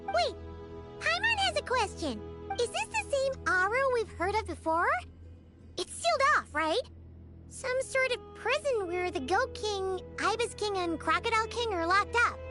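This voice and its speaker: A woman voicing a small, excitable, childlike fairy companion in a video game, chirpy and speaking of herself in the third person. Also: very high-pitched, unhurried, loud music behind the voice, heavily acted. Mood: inquisitive.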